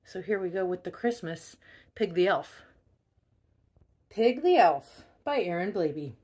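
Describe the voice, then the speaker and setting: A woman reading a children's picture book aloud in an expressive way, stressing key words. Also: lowish voice, measured pace, husky. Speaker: storybook reader.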